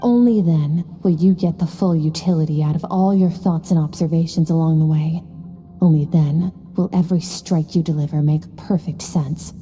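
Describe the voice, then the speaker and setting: A woman playing a stern, aloof master swordswoman in a video game, lecturing in a cool, measured, terse manner. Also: low voice, fairly even intonation, dark tone, long reverb tail, faint music behind, lightly acted. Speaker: sword instructor. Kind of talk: scripted video game voice acting.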